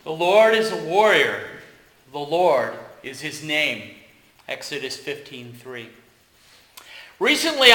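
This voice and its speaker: Preacher, male, slow unhurried delivery, bright ringing tone, echoey sanctuary sound. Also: fairly high pitch. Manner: leads hymn singing, then preaches with relaxed asides. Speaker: pastor. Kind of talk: church service and sermon. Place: church sanctuary. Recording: echoey church room.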